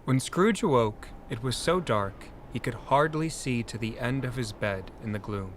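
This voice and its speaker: A man narrating a scripted dramatic story in an expressive, deliberate way. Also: medium pitch, a little hiss or hum.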